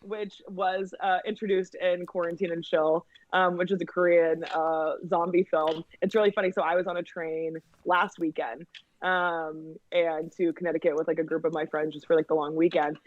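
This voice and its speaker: A woman's voice: lowish voice, level tone, moderate pace, dry recording, dull audio. A woman talking as a podcast guest in casual chat, with many fillers and restarts and frequent laughter.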